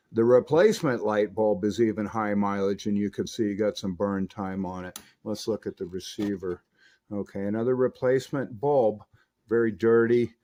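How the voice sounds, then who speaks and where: A man's voice: medium pitch, a little mellow. A man giving an informal tutorial as a radio technician, in a folksy, plain-spoken and explanatory way. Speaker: vintage radio collector and repair technician. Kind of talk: buying tips tutorial, informal narration.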